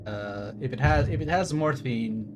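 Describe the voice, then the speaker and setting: A man's voice: mid-range voice, moderate pace, background noise. A man streaming a game with casual, rambling commentary, wry and casually profane. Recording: stream with game music behind.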